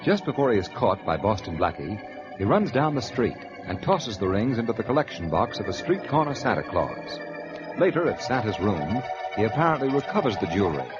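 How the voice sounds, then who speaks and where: A man's voice: on the low side, very animated, a little fast. A male announcer reading scripted narration in the style of 1940s radio drama. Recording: dull, noisy old radio recording. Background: loud music.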